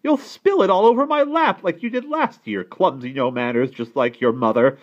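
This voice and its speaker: A man's voice: high voice, very animated, unhurried, lo-fi sound, voice pushed up, full character voice. A man voicing a bad-tempered old aunt who complains and bosses in a children's storybook. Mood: furious. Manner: complaining, bossy, exclaiming. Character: shrill, scolding, bad-tempered old aunt, never satisfied. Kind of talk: children's storybook read-aloud.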